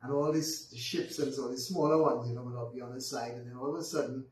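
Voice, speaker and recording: A man's voice: medium pitch, steady tone. Older Barbadian man reminiscing, echoey. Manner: unhurried, with frequent ums and restarts. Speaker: guest. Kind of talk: reminiscing storytelling in conversation. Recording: roomy echo, distant mic, faint noise.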